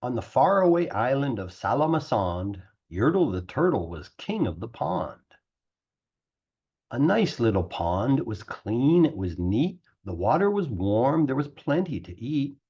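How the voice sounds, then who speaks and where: Animated man reading a storybook. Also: medium pitch, slightly rough, highs cut off. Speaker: English teacher reading aloud. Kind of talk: expressive storybook narration.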